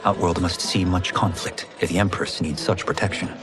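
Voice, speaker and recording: A man's voice: low-pitched, fairly even intonation, moderate pace, over music, natural voice. A man playing a polite, earnest young farmer turned champion fighter in a video game, speaking respectfully. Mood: curious. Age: young adult. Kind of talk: dramatic video game acting.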